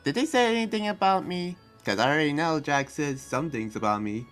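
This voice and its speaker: A man playing an earnest, hesitant demon slayer and researcher in an improvised Minecraft anime roleplay, speaking haltingly and trailing off. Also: fairly high pitch, animated, fairly bright tone, faint music behind. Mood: uneasy, businesslike.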